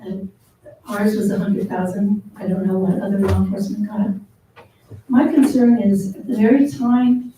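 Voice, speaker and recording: A woman with a small, soft voice speaks earnestly at a council meeting, pausing on ums. Her tone is very dark. She is heard from a distance in a roomy, echoing chamber with faint noise. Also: mid-range voice, steady tone. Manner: earnest, measured, with frequent ums. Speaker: public commenter. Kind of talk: public comment at a council meeting.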